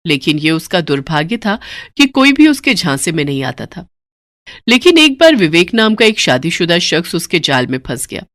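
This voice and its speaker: A woman's voice: fairly low pitch, fairly bright tone, no room sound, studio quality. A woman narrating a story in a dramatic, scripted storytelling style.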